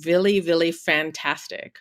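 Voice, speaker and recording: A woman's voice: lowish voice, steady tone, a little slow, fairly bright tone. A woman in her thirties or forties from Germany with a German accent, chatting as an interview guest from her home office, with lots of fillers and restarts. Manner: chatty, many fillers and restarts, laughs often. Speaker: guest journalist and author. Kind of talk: conversational interview.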